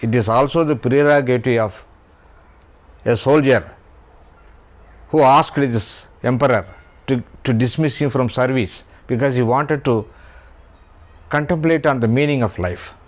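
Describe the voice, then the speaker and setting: Slow-speaking Indian man with an accent. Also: medium pitch. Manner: deliberate, spells out words letter by letter. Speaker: YouTube host, writer. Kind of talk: slow literary talk.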